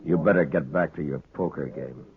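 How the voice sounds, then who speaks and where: An actor playing a steady, laconic frontier marshal in a terse, understated 1950s radio western style. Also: male voice, a bass voice, brisk, gravelly. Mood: firm, guarded. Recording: muffled vintage 1950s radio recording.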